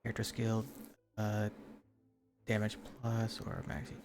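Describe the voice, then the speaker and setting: A man's voice: fairly low pitch, steady tone, unhurried, vocal fry. A man giving casual gameplay commentary on a livestream, speaking close to his microphone. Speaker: game livestreamer. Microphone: close streaming mic. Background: game music.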